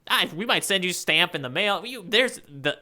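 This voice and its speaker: A man's voice: high-pitched, animated, fairly quick, pushed and bright. A man co-hosting a podcast, chatting casually and playfully about comic panels. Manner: playful banter. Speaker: podcast co-host.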